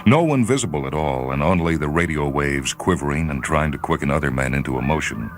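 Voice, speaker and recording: A man reading a short story as dramatic narration, grave and measured, with theatrical emphasis. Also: rumbling low, animated. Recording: faint noise and ambient sound bed. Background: faint ambient sound.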